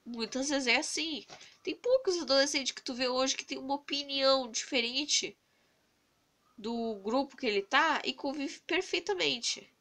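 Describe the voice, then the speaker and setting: A young Brazilian woman with a cold, hoarse and stuffy-nosed, venting earnestly into her phone camera in a rambling casual vlog. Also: high-pitched, a little slow, pushed and bright. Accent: Brazilian Portuguese. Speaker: vlogger. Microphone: phone camera held close to the face.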